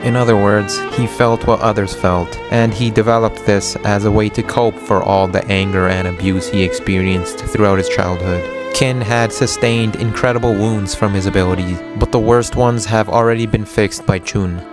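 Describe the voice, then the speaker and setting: A man's voice: on the low side, fairly even intonation, moderate pace, few pauses. A man reading a scripted story recap as narrator, with guitar music behind him.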